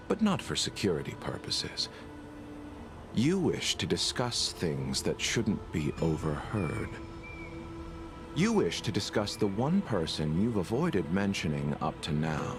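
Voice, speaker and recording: A man reading video game story text aloud as dramatic narration on a livestream. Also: lowish voice. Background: game music.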